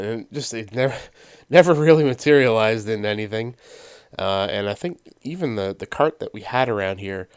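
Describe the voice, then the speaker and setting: A man's voice: on the low side, smooth, no room sound. Congested man narrating gameplay, dry. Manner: rambling, many ums and uhs. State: stuffy with a sinus cold. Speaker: let's play host. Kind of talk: casual gameplay commentary.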